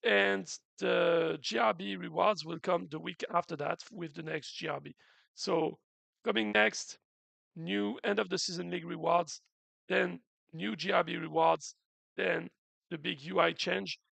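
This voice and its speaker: A man's voice: high-pitched, fairly even intonation, unhurried, gravelly, a bit bright, no room sound. A man hosting a game's community stream, explaining updates in an earnest, casual way with many restarts; his throat is a bit sore after the flu. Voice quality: unusual voice, in his words.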